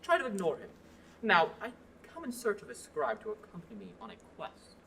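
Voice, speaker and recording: A teenage actor playing a curt, impatient knight on a quest in a student radio play. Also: female voice, medium pitch, big pitch swings, a little fast, creaky, fairly bright tone, slight background noise. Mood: formal. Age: teens. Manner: curt and bossy.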